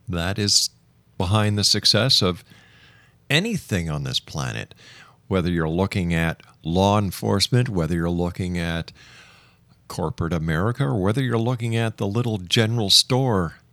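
A man hosting a radio interview show, speaking in a measured, emphatic way with deliberate pauses. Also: fairly low pitch, a bit bright, no echo. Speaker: radio talk show host.

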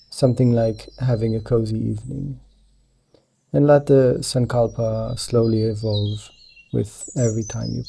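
Male meditation guide, very mellow and dark with creaky low edges, gentle and soothing delivery. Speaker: meditation teacher guiding yoga nidra.

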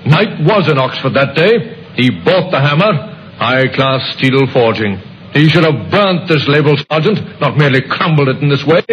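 An actor playing a shrewd, stern police inspector in an old-time radio mystery, with a dry, measured, insinuating manner. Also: male voice, fairly high pitch, lightly acted. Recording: muffled, noisy vintage radio recording.

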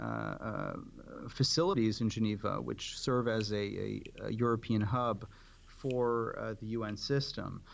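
A man giving formal policy commentary as an interview guest, speaking deliberately in short, halting clauses. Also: medium pitch, gravelly. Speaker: advocacy organization director, interview guest.

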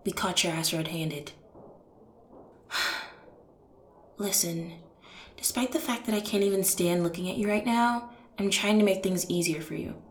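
A woman playing a cold, contemptuous police detective in an audio roleplay, speaking deliberately to a suspect she despises. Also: mid-range voice, close to an everyday voice. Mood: restrained, pressing. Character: stern, disgusted female police detective interrogating a killer. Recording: roomy echo on the voice.

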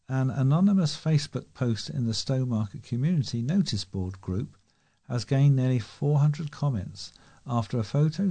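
Mellow British man reading local news. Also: mid-range voice. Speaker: volunteer newsreader.

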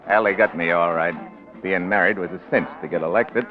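An actor playing a rough, plain-spoken politician and awkward husband in a 1940s radio drama, with an American accent and colloquial, gruff speech. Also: male voice, sits low, a little fast, natural voice. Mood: wry narrating. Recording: tinny old radio recording with music.

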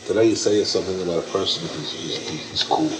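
A man's voice: deep voice, a little fast, noisy background. A man leading an informal question-and-answer session, emphatic and repetitive as he explains. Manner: warm, emphatic, repeats himself. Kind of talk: informal Q&A lecture.

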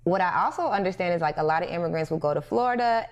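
Low-voiced woman hosting an interview. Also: animated, rapid-fire. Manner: thoughtful, searching for words, many small backchannels. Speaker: podcast host. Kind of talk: interviewer conversation.

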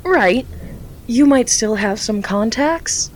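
A woman's voice: on the high side, animated, takes it slowly, a little hiss or hum. An actress playing an earnest, hesitant young woman who works for a charity. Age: young adult.

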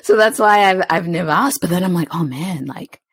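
Woman, young adult, podcast host, low lively voice, laughs a lot, dry close sound. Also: fairly quick, breathy edge, no echo. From immigrant to New Zealand. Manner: expressive, laughs often, many fillers. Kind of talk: casual chat.

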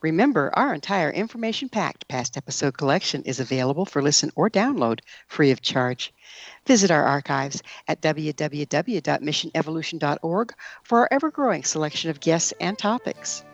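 A woman's voice: low-pitched, expressive, moderate pace, dry recording, highs cut off. Woman hosting, dry studio sound. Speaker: show host. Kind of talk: radio interview hosting with scripted sign-off.

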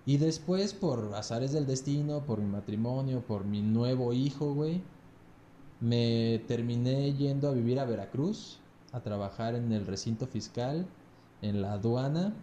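Mellow Mexican man, casual and crude. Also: mid-range voice, measured pace, echoey room, a little hiss or hum. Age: 30s. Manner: relaxed, crude slang, ends phrases with "güey". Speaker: podcast guest. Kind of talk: casual storytelling chat.

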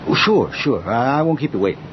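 Actor as an awkward, stammering man, swooping pitch. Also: sing-song, quick pace, lightly acted. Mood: awkward sympathy. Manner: stammering, hesitant. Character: awkward, nosy, condoling male acquaintance. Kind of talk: old-time radio drama acting. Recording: muffled, noisy old radio recording.

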